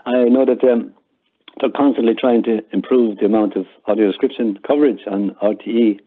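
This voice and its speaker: A man's voice: fairly low pitch, steady tone, fairly quick. Older Irish man, muffled lo-fi sound. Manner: hesitant, repeats words while explaining. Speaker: interview guest, member of amateur audio theatre group. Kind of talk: casual interview chat.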